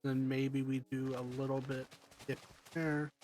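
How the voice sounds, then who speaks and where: A man's voice: mid-range voice, completely flat delivery, a little slow, a little mellow, faint music behind. A man streaming a block-building game, congested from allergies, thinking aloud and rambling as he builds. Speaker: livestream gamer. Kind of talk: casual gameplay commentary.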